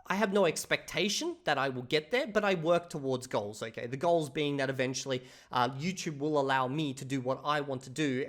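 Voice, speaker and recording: A man, talking casually to camera about his routine, swearing freely and saying "bro" and "okay?" often. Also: medium pitch. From Australia. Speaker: language-learning YouTuber.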